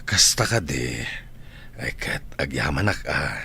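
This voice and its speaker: A man acting an ailing, gentle retired schoolteacher in an Ilocano radio drama, with an Ilocano Filipino accent. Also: very deep voice, lively intonation, a little slow, creaky, slight background noise, heavily acted. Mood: weary, grateful. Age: older.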